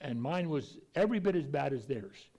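A man's voice: fairly high pitch, animated, normal speed, vocal fry, warm tone. A man preaching a conversational Bible teaching, folksy and plainspoken, with an occasional stutter and restarts.

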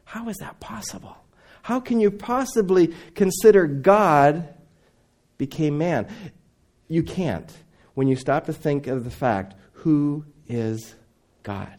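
A middle-aged man who grew up in Indonesia, preaching a sermon with dramatic swings between loud emphasis and near whispers. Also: a little high, animated, slow pace.

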